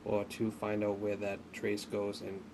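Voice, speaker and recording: A man giving an unscripted hands-on tutorial, speaking haltingly as he thinks aloud while working, as a hobbyist would. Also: fairly low pitch, completely flat delivery, creaky. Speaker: hobbyist demonstrating an electronics repair. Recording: noticeable background noise.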